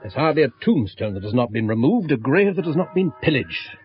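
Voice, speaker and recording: An actor playing a grave, genteel, devout village man with a British accent, measured and courteous in 1970s radio horror. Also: medium pitch, very animated, soft background music, lightly acted. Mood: somber. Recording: dull old radio recording.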